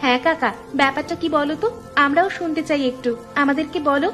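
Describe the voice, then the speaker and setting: A woman's voice: sits high, fast, a bit bright, faint music behind. A woman voicing a curious, eager young village girl in a cartoon. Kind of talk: cartoon story acting.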